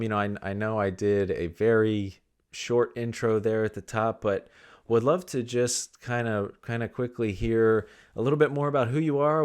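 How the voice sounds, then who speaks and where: A man hosting a live interview over a video call, asking loose, rambling questions with many fillers. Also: medium pitch. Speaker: host.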